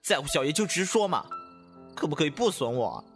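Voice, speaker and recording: Man playing a brash, animated young lover. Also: a little high, fairly quick, faint music behind. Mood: flustered, indignant. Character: brash, devoted young male time-traveller, easily flustered. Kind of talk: Chinese costume audio drama acting.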